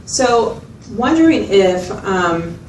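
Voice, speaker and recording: A woman's voice: mid-range voice, very animated, slow. A woman playing a supportive mental health clinician, explaining and reflecting back in a conversational clinical interview. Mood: supportive, explanatory. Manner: reflective, explanatory, checking in with the listener. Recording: distant mic, roomy echo, loud background noise.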